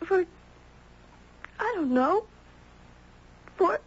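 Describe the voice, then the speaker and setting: A woman playing an earnest, wistful young girl with halting, sincere speech in a 1970s radio drama. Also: very high voice, animated, heavily acted. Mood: plaintive, guilty. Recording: dull 1970s radio broadcast.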